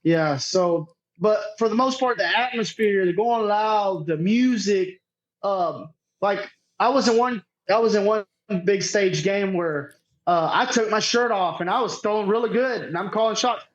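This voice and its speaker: High-voiced man, casual and sweary. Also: a little slow, lo-fi sound. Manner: sweary, slangy, unpolished. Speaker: podcast guest, cornhole player. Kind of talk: casual chat, storytelling.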